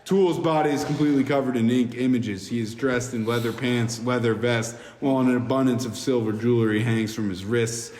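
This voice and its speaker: A man's voice: medium pitch, fairly even intonation, brisk, few pauses, darkish tone. A man reading screenplay directions aloud in plain, amateur narration at a podcast table read. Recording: echoey room, long reverb, background noise.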